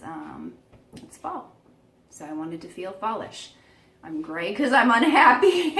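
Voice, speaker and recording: Woman beauty vlogger with a croaky, gravelly voice, walking through her makeup with self-mocking asides. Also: on the low side, a bit bright, slight background noise. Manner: chatty, self-deprecating, laughs at herself. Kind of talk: casual makeup tutorial.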